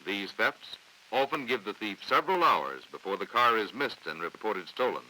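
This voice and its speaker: An American man reading scripted training film narration in a formal, authoritative, measured way on a vintage 1950s soundtrack. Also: on the low side, expressive, sharp tone, low-quality recording. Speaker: documentary narrator.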